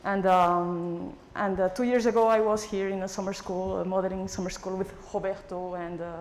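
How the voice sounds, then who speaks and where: Excited woman lecturer from Colombia. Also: medium pitch, moderate pace, slight background noise. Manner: excited, friendly, laughs. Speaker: guest lecturer. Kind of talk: lecture opening. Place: lecture room.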